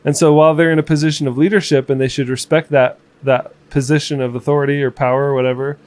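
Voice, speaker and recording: A man's voice: on the high side, little pitch movement, quick pace, a little mellow, dry recording. Chatty man rambling through memories. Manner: rambling storyteller, many fillers and restarts. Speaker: podcast co-host. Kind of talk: casual chat. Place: home room.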